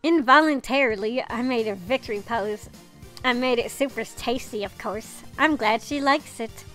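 Woman as young male reporter-butler, smitten, earnest, self-scolding, bright high voice, visual novel. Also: normal speed, soft background music, close to an everyday voice. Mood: pleased. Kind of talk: visual novel read-aloud.

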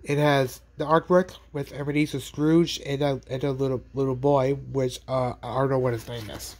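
Stuffy-voiced man filming on his phone at home; halting, repetitive, casual. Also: medium pitch, level tone, darkish tone. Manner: halting, repeats words and restarts often. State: has a cold. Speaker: collector showing DVDs. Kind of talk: casual unboxing vlog. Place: bedroom, indoors. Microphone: handheld phone pointed at bed.

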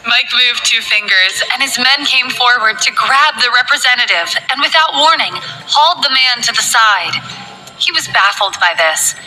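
A woman reading a novel aloud in steady scripted narration. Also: on the high side, moderate pace, soft background music, low-quality recording.

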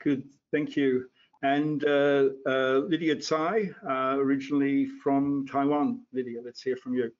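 An older man moderating a panel on a video call, speaking haltingly with many fillers. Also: mid-range voice, little pitch movement, muffled recording.

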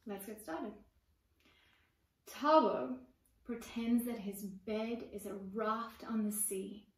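A woman's voice: fairly high pitch, takes it slowly, distant mic. A woman in her forties with a South African accent reading a picture book aloud expressively, with questions to young listeners. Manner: expressive, addressing children with questions. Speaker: children's book author and reader. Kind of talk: storybook read-aloud with asides to young listeners. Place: indoor room with bookshelves and plants. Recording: roomy echo, away from the mic.

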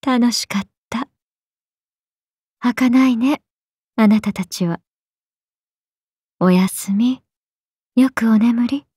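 A woman's voice: fairly high pitch, slow pace, long pauses, mellow, breathy edge, no room sound, clean studio sound. A woman voicing a playful, teasing, carefree oni goddess in an anime game, with a casual, airy drawl. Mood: languid, amused. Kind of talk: Japanese anime game voice acting.

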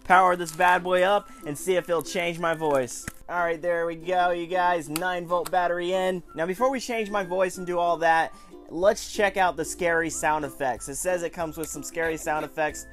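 A man's voice: high voice, steady tone, normal speed, fairly bright tone, faint music behind. A man in his twenties hosting a casual toy review, chatty and enthusiastic in an informal way. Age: 20s. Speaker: toy review show host. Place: small room at home.

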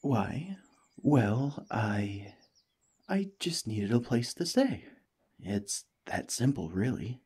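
A man voicing a cheerful, friendly alien parasite in a gentle, chatty ASMR roleplay, eager and reassuring. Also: medium pitch, sing-song, slow pace, slightly rough, exaggerated voice. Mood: cheerful, affectionate. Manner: gentle, chatty, playfully earnest.

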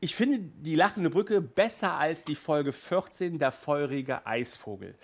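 A man in his thirties with a fairly high voice, hosting a casual review from a small room. He talks in a lively, restless way, stumbling and restarting, and sounds hot and flustered by the heat. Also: a little slow. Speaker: audio drama reviewer, host. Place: small indoor room with toy shelves.

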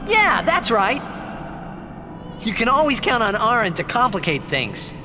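An actor playing a boyish, loyal teenage athlete hero in a video game, casual, upbeat and earnest. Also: male voice, very high voice, wildly swooping pitch, a little slow, big-room sound, over music, heavily acted. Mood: teasing, friendly.

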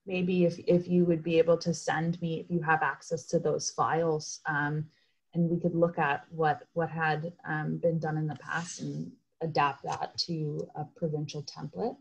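Lower-pitched woman, halting delivery. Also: level tone, gravelly, breathy edge, low-quality recording. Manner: hesitant, halting delivery with repeated words. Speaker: advocacy coordinator leading a meeting. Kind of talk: informal meeting presentation. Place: indoors on a group video call. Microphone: computer video call.

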